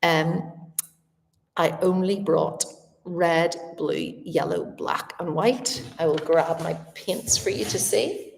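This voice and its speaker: A woman's voice: fairly low pitch, takes it slowly, creaky, big-room sound, rough recording. A woman with a Northern Irish accent, chatting casually and directly to her viewers in a live stream from a large old house. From Northern Ireland. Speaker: artist livestreaming to viewers.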